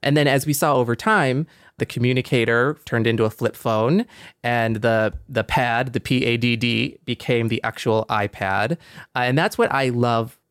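A man's voice: medium pitch, steady tone, moderate pace, fairly bright tone, clean tone, no echo, clean studio sound. A man talking casually as a podcast panelist, earnest and explanatory.

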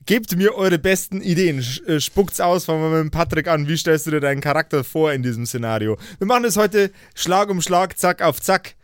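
Higher-voiced man leading casually, dry close sound. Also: brisk, hardly any pauses, clear, resonant tone, no room sound. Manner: jokey, mixes English catchphrases into German. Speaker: game master of a role-playing podcast. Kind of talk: casual, slangy chat leading a character-creation session.

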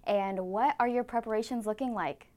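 A woman's voice: fairly high pitch, moderate pace, a little mellow. A young woman interviewing an athlete, enthusiastic and chatty, laughing easily. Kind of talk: upbeat interview questions.

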